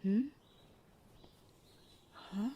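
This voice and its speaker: A young adult woman voicing a shy, sweet roommate in an ASMR audio roleplay, speaking softly and hesitantly with breathy whispered asides. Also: extremely slow, highs cut off, slight background noise. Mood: shy, flustered. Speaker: voice actor.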